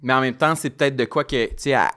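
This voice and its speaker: A man in his late thirties with a Québécois accent, co-hosting a casual podcast and talking in a halting way as he searches for words. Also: medium pitch, bright, forceful tone. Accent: Québécois French. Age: 30s. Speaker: podcast co-host. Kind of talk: casual chat.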